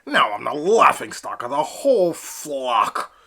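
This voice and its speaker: A man voicing a gruff, sports-loving father duck in a storybook, loud and insistent. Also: a little high, wildly swooping pitch, a little slow, pitched above the performer's own voice, full character voice. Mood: exasperated.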